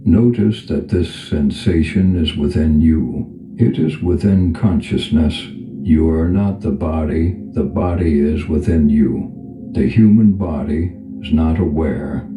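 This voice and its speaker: A man leading a guided meditation as a calm spiritual teacher, speaking in a gentle, unhurried, instructional manner. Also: rumbling low. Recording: echoey reverb over background music. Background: music.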